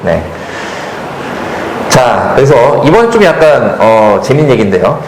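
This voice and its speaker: A man at a mid-range pitch moderates a panel talk in an echoey event hall, with a faint hiss under his voice. He keeps it relaxed and jokey, leaning on fillers and steady affirming hums. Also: measured pace.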